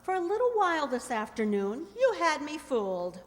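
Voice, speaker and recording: A woman's voice: sits high, very animated, moderate pace. Woman acting brassy slangy dame, swooping voice. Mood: bitter, determined. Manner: slangy, emphatic, dramatic. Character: brassy scheming waitress, discarded mistress with grit. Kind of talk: radio mystery drama acting. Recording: reverberant hall stage recording.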